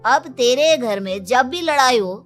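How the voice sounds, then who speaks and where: A woman voicing a calm, reassuring male office friend in a cartoon. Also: high-pitched, measured pace, soft background music. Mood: concerned. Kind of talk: cartoon dialogue.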